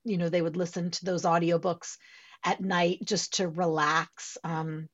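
Expressive woman narrator, muffled sound. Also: lowish voice, measured pace. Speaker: audiobook narrator guest. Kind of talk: relaxed conversational interview. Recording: slightly dull lo-fi sound.

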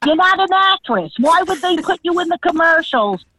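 A woman's voice: very high voice, lively intonation, moderate pace, sharp tone, pitched above the performer's own voice, full character voice. A woman with a Long Island accent putting on her exasperated older mother's voice over a tinny phone line. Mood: exasperated disbelief.

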